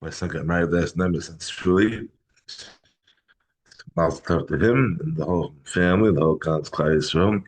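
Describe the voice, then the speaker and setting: A hoarse middle-aged man teaching a Talmud class in a halting way, often clearing his throat. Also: low voice. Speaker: Torah teacher.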